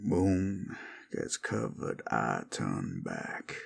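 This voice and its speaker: A man voicing a menacing cowboy outlaw turning werewolf in an audio roleplay, with a Southern accent and a strained, growling, breathy drawl. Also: very deep voice, very animated, drawn-out words, background noise, pitched below the performer's own voice, full character voice. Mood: strained, ominous. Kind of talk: dramatic audio roleplay spoken to the listener.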